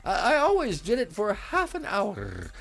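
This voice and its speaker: A man voicing a flighty elderly queen in a storybook reading, with a squealing, drawn-out falsetto that trails into a bleat. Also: wildly swooping pitch, vocal fry, a bit bright, exaggerated voice. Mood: wailing. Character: flighty elderly queen, shrill, turning into a sheep.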